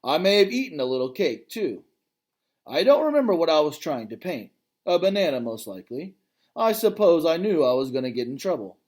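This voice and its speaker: A man reading a children's novel aloud as its gentle, thoughtful male gorilla narrator, in a calm, reflective way. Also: on the high side, a little fast. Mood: wistful.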